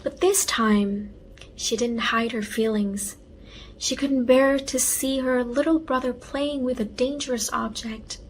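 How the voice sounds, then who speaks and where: A woman reading a children's story aloud in an expressive storytelling style, carefully enunciated. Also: on the high side, a little hiss or hum. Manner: unhurried, enunciated read-aloud.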